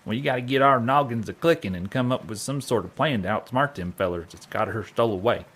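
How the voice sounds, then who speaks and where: An actor playing an earnest, folksy cowboy drifter with a husky American Old West drawl in a western comedy audio drama. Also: male voice, mid-range voice, brisk, a bit bright, lightly acted. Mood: resolute. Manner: folksy, rustic grammar, colorful exclamations. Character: earnest cowboy drifter Lionel, excitable, resolved to do right.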